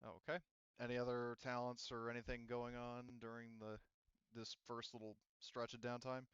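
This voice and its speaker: A man acting as game master, casually narrating and making rulings in a halting, rambling way that often interrupts itself. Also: medium pitch, monotone, a bit bright, clear, resonant tone, no echo, dull audio.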